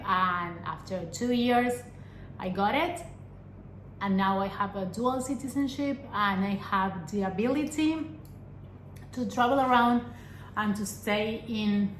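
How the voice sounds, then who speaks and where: A young adult woman from Argentina, a vlogger giving an earnest personal talk to camera, pausing often and asking rhetorical questions. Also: mid-range voice, slight background noise. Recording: echoey room with faint ambience.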